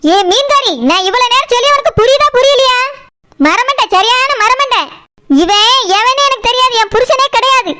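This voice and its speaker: A woman's voice: very high voice, normal speed. A woman voicing a furious, hot-tempered woman owner in a comic cartoon, blunt and threatening, with a Kanyakumari Tamil accent. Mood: furious, insulting. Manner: blunt, threatening, name-calling.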